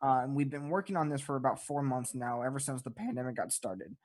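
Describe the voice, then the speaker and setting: A man explaining a project in casual promotional talk, listing things out with hesitant pauses. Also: mid-range voice, a little mellow, no echo, clean studio sound.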